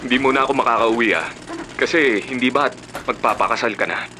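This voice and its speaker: A man's voice: mid-range voice, brisk, pushed and bright, a little hiss or hum, close to an everyday voice. A man playing an outspoken, generous elder brother in a Filipino radio drama, with a Filipino accent and an emphatic, sardonic delivery. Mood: teasing, encouraging.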